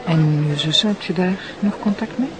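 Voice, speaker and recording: A woman's voice: on the low side, moderate pace, crowd noise, lightly acted. A woman playing a persistent public prosecutor in a TV drama, polite but insistent as she questions, with a Flemish accent. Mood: probing. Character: probing, persistent female public prosecutor posing as journalist. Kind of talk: naturalistic TV drama acting. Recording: noisy background ambience.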